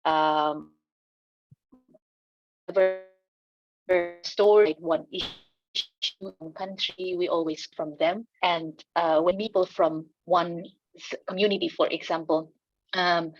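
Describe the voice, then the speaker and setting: A woman with an Indonesian accent speaks on a conference panel in a low voice. She stops and restarts often and fills the gaps with ums. The sound is dry and a little muffled. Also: steady tone, no room sound. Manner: halting, many fillers and restarts, light laughs.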